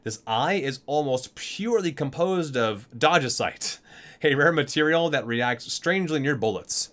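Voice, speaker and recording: A man's voice: fairly high pitch, lively intonation, moderate pace, fairly bright tone. A man hosting an ASMR video, reading guidebook entries aloud and sometimes stumbling and correcting himself.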